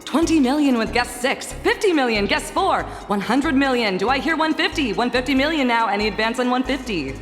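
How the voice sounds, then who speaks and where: A woman voicing a poised, formal female auctioneer in a video game cutscene, announcing in a measured way. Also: sits high, expressive. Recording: studio voice with long reverb and music.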